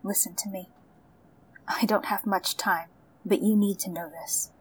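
An actress playing an anxious woman crew member giving a secret warning in an audio drama, urgent, halting and nervous. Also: medium pitch, steady tone, close to an everyday voice. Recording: slightly distant, faint background noise.

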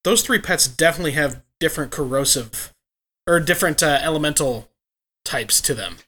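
A man co-hosting a casual podcast, sounding stuffy from allergies and chatting in a relaxed way. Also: fairly high pitch, fairly quick, husky. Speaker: podcast co-host. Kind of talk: casual chat.

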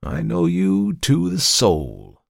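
A man voicing an uncanny devilish visitor who speaks politely, calmly and with kindly severity in a storybook reading. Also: medium pitch, vocal fry, dry recording, studio quality. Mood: knowing, severe. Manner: polite, measured, pleasant and unhurried. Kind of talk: dramatized literary storybook reading.

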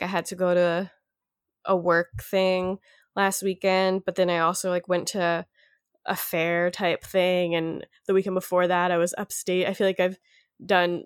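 A young woman from the United States with a congested, nasal voice, short of breath, talking slowly and quietly in a casual chat. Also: on the low side, smooth, no room sound, clean studio sound. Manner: talks slower and quieter, winded. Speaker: sick friend.

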